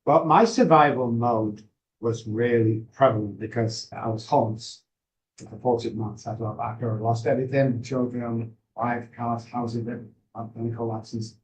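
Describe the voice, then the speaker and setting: A man with a Manchester accent, a guest on an interview, talking in a brisk, emphatic way from a home office over a video call. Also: mid-range voice. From England. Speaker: guest, addiction specialist. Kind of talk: conversational interview. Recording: distant from the mic.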